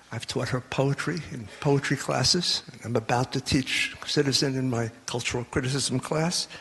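An older man reading a prepared statement at a podium in a large hall, measured and earnest, pressing his points with repetition. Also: medium pitch, gravelly, fairly bright tone, husky. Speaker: panel discussant. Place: large concert hall stage. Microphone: podium microphone. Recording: long hall reverb.

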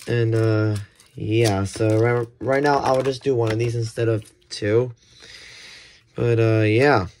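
A man giving casual, unscripted unboxing commentary in a hesitant, rambling way, often correcting himself. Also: on the low side. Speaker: unboxing video host. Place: indoors at a table. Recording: clear background noise, handling clicks.

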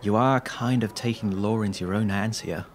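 An actor playing a concerned, hesitant male friend in an audio drama, speaking gently. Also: lowish voice, fairly even intonation, brisk, lightly acted.

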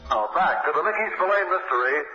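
A man's voice: a little high, lively intonation, fast talker, pushed and bright, faint music behind. Old time radio announcer guy, 50s mystery show, echoey and dull sound. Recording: muffled, noisy, echoey 1950s radio recording.

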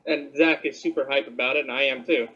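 A man chats in a plain, even way as a co-host, coming through muffled lo-fi call audio. His pitch sits mid-range, and he passes along news with easy filler words. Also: fast talker, slightly rough. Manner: plain, reads announcements aloud while gaming. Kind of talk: casual chat over a call.

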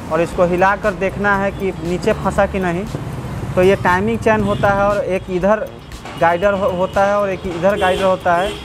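Male mechanic with a high voice narrating a workshop tutorial, music clearly audible behind him. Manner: explanatory, guides the viewer step by step. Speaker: motorcycle mechanic. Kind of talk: workshop repair tutorial, narrating over close-up work. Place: motorcycle workshop.

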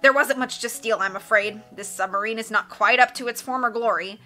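A woman puts on a theatrical male villain from a storybook, forceful and raspy. He gloats and boasts in a drawn-out, greedy way. Faint music plays behind him. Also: on the high side. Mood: boisterous triumph.

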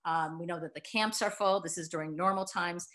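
A woman's voice: lowish voice, fairly even intonation, a little fast, a bit bright. An older woman, a cookbook co-author, presenting a talk earnestly over a video call from home, with frequent fillers. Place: wood-paneled room at home. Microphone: laptop video call.

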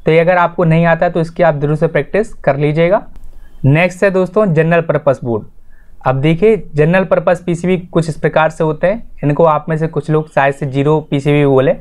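A man's voice: a little high, steady tone, a little fast, a little mellow. A man hosting an informal explanatory voice-over lesson, addressing viewers directly in an instructional way and restating his points. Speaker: tutorial host.

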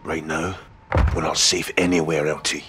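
An actor playing a gritty, cheeky special forces sergeant in a video game, with a Scottish accent and a wry, bantering delivery. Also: male voice, extremely low pitch, level tone, normal speed, a bit bright, slight background noise. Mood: tense, gritty.

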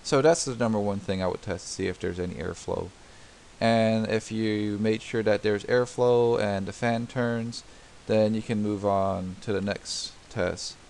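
Gravelly man explaining step by step. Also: on the low side, darkish tone, a little hiss or hum. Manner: explanatory, many fillers and restarts. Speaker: DIY home repair tutor. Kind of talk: unscripted screen-recorded tutorial narration. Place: voice-over on a diagram video.

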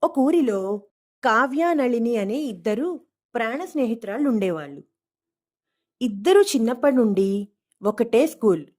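A woman's voice: on the high side, a little mellow. A woman storyteller reading a scripted moral story for children, acting out dialogue as she narrates.

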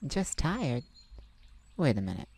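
A woman voicing a sweet, caring boyfriend in an intimate ASMR roleplay, tender, gently teasing and coaxing. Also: rumbling low, sing-song, slow pace, slightly rough, full character voice. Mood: gently concerned. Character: sweet, caring young boyfriend comforting his partner.

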